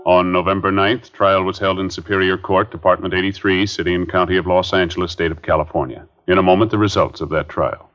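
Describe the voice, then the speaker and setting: A man announcing a 1950s radio crime drama in a solemn, measured way, heard on a muffled old broadcast over loud music. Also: sits low, little pitch movement.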